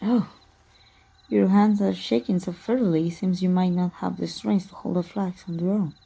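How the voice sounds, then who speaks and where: A woman voicing a proud, teasing, wounded immortal warrior in an ASMR roleplay, with soft, breathy, archaic formal speech. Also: fairly low pitch, steady tone, normal speed, rough recording. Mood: gentle, concerned.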